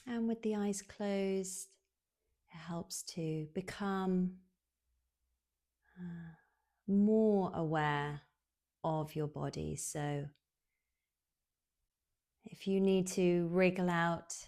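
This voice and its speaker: Slow, creaky-voiced woman guiding relaxation. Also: on the low side, darkish tone. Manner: soothing, unhurried, with long pauses. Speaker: yoga nidra guide. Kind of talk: guided relaxation meditation.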